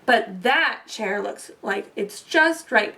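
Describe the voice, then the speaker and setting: A woman's voice: mid-range voice, lively intonation, slow, gravelly, bright, forceful tone, voice pushed down, heavily acted. A woman voicing a mischievous, carefree little girl in singsong rhymes for a storybook, a bit far from the mic. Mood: fussy, chatty. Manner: chanting, exclaiming in singsong rhymes. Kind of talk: children's storybook read-aloud.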